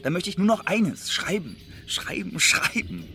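A hunted spy with dreams of writing plays, in a fairly high voice that swings widely. He pleads in an agitated, theatrical radio drama manner, with clear noise behind him. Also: big pitch swings, measured pace, bright, forceful tone, heavily acted. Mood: yearning, fervent. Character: restless, hunted spy and would-be playwright. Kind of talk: radio play acting.

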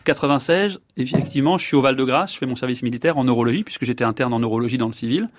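A man's voice: medium pitch, racing, slight background noise. A man in his fifties, a neurologist guest, giving long reflective answers with many fillers and restarts. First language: French.

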